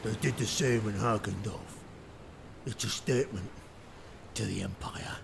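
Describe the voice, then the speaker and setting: A man playing a grim, resigned captive soldier in a fantasy audio drama, speaking bleakly in a gravelly voice. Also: on the low side, unhurried, noisy background. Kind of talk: full cast fantasy audio drama acting.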